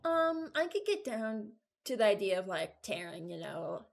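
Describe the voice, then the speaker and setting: A woman's voice: medium pitch, very animated, measured pace, vocal fry. Casual, rambling young woman commentator. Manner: informal, rambling, candid. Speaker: video creator.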